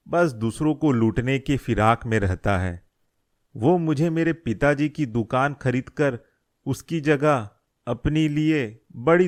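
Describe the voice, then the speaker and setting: A man voicing a stubborn, sentimental old grandfather shopkeeper who explains patiently in a cartoon story. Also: mid-range voice. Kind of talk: Hindi moral cartoon story dialogue.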